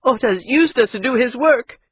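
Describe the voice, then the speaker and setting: Male narrator doing a high, husky-voiced young noblewoman, bitter and cutting, dull lo-fi sound. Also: lively intonation, moderate pace, dry recording, exaggerated voice. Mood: desperate. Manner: high strained pleading, cutting sarcasm. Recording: dull lo-fi recording.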